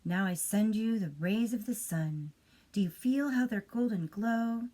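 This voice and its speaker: A woman voicing a gentle, dreamy, shy male field mouse poet in a storybook, with a measured, declaiming delivery. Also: medium pitch, close to an everyday voice. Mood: soothing.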